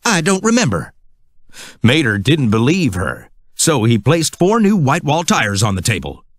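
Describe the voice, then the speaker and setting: Man for children's storybook narration: scripted, highly expressive pitch, close dry sound. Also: moderate pace, dry recording.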